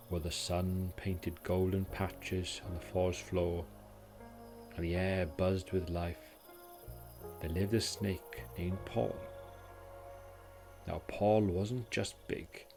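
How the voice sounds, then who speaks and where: A Welsh man gently narrating a scripted bedtime story in a soothing, calming way, as its host. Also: low voice. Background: music.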